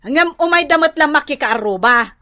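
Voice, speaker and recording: An indignant village neighbour in a comedy radio drama. She argues in exclamations, her voice high and sharp, with an Ilocano accent. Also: lively intonation, normal speed. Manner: exclamatory, argumentative. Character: indignant neighbour woman defending her chickens.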